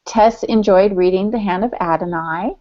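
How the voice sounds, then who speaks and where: A woman's voice: mid-range voice, moderate pace, mellow. A woman co-hosting a podcast, chatting casually and informally. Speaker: podcast co-host. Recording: dull lo-fi audio.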